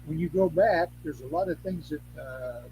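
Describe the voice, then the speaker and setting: Older man, higher-pitched, noisy recording. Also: warm tone. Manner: folksy, salty humour; halting, repeats phrases. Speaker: guest, Craft elder and teacher. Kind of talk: rambling anecdotal interview talk. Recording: clearly noisy background hum.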